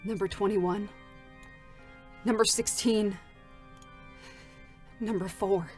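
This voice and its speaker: A woman's voice: mid-range voice, steady tone, soft background music, natural voice. A woman voicing a world-weary, bitter android soldier haunted by loss, in a grave, dramatic game-story reading. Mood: mournful. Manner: slow, brooding, grave delivery. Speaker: voice actor.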